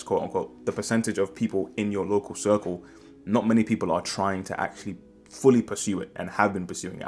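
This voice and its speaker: Deep-voiced man in his twenties. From Ghana. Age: 20s. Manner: earnest and rambling, with restarts. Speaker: vlogger. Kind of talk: reflective personal monologue.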